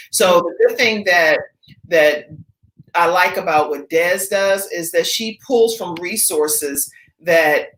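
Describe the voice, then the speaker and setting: A woman's voice: on the low side, a bit bright, reverberant, poor audio. A woman hosting a live talk show, chatting casually and laughing easily with her guest. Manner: upbeat, chatty, laughs often. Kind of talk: casual live interview chat.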